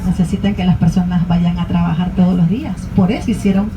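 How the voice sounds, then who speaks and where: A woman's voice: fairly low pitch, steady tone, racing. A native Spanish-speaking woman with a Latin American accent gives bilingual tour commentary, explaining things through rhetorical questions. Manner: explains through rhetorical questions, switching between two languages. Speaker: tour guide. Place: inside a tour bus. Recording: loud vehicle noise on a moving bus.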